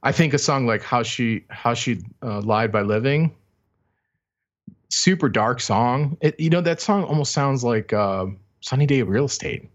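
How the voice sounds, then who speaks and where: A man co-hosting a casual music podcast, talking thoughtfully and haltingly, correcting himself, on a slightly dull recording. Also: medium pitch, animated. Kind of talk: casual album discussion.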